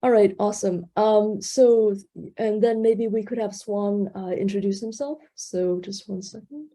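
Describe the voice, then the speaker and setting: A woman's voice: mid-range voice, a little slow, mellow. A woman in her thirties moderating an online panel, speaking with many fillers and restarts.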